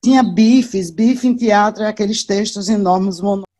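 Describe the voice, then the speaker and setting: Mellow older Northeastern Brazilian woman, chatty. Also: medium pitch, normal speed. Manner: chatty, with frequent asides and tag questions. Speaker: interview guest, journalist. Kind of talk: casual, anecdotal reminiscence.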